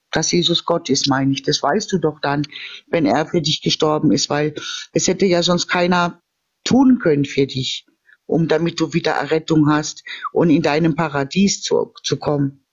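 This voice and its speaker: A high-pitched man argues his faith in a casual, heated debate, pushing his point and ending sentences with a questioning "ne?". The audio is dull and lo-fi, coming through a phone on a live video call. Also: moderate pace, husky. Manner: insistent, preachy, tags sentences with "ne?".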